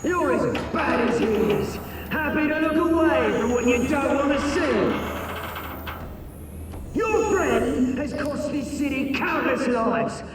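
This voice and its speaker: A theatrical British crime boss from a video game, very high-voiced and slow to savour each taunt. He is crude, sadistic and slangy, heard through heavy echo. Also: over loud music. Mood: angry ranting. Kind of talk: video game villain acting. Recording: echoey, distant, very noisy game scene.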